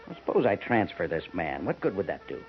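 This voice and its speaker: Husky, lively-voiced major, firm and questioning, muffled old radio sound. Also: male voice, low-pitched, fairly quick, faint music behind. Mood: probing. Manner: persistent, questioning. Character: probing fort major, firm commanding officer. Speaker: army major. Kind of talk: 1950s radio drama acting. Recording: muffled 1950s radio broadcast.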